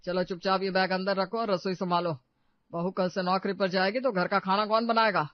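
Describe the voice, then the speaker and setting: A man performs an elderly, domineering husband in a domestic story. His voice sits high and he scolds with indignation. The recording is close, dry and slightly dull. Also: level tone, a little fast, dry recording, natural voice. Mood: scolding. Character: stern, scolding old patriarch husband and father-in-law.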